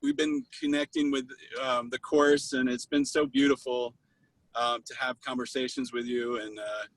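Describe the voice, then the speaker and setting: Male host, effusive and sentimental, animated delivery with a husky edge, informal video-call chat. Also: mid-range voice, normal speed, no echo. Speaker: host of an online spiritual study group.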